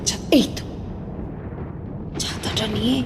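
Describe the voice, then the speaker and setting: A woman playing a curious, easily awed young daughter-in-law in an audio drama, breathy, halting and exclaiming to herself. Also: background noise, natural voice. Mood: suspicious, determined. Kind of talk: dramatic Bengali audio play acting.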